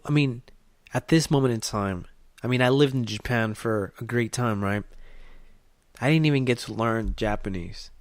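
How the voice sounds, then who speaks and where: A man's voice: mid-range voice, no room sound, clean studio sound. Blunt, profane motivational podcast host, a man. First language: Spanish. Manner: blunt, profane, fires off rhetorical questions. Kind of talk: motivational monologue.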